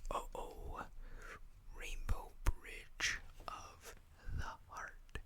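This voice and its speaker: A host whispering gently and unhurriedly into a close microphone, reading out card game text in ASMR style with small asides. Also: very slow.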